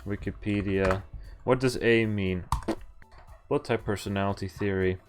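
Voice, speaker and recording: A man's voice: on the low side, fairly even intonation, a little slow. A man streaming a visual novel, reading it aloud with casual, amused asides. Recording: background game music behind voice. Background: game music.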